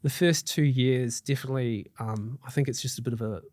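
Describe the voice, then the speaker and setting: A man's voice: mid-range voice, a little fast, a little mellow, no echo, clean studio sound. A middle-aged man with a New Zealand accent, a guest chatting casually on a podcast, upbeat and loose with fillers and restarts, his throat slightly tickly. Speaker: guest mortgage broker.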